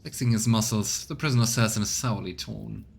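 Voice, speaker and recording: A man's voice: lowish voice, fairly quick, gravelly, a little mellow. A man doing a casual game stream, reading narration aloud and musing between lines. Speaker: let's play streamer. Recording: noticeable background noise.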